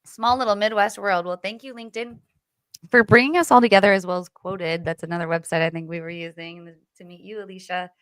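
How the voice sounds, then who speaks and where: A woman's voice: medium pitch, steady tone, a little fast, a bit bright, clear, resonant tone, dry recording. A woman from Illinois hosting a live stream panel, upbeat and effusive as she leads the talk over a video call.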